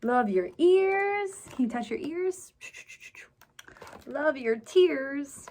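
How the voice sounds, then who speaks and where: A woman's voice: sits high, expressive, drawn-out words, darkish tone. A woman hosting a children's story time, reading aloud and talking to young listeners in a sing-song, encouraging, exaggerated way. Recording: clear background noise.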